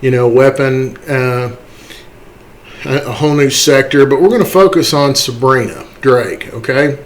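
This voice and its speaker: A man with a medium-pitched voice hosts a screen-recorded tutorial. He talks conversationally, explaining things step by step like he is showing another hobbyist how it works, often adding a quick check-in at the end of a point. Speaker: tutorial host, game modder.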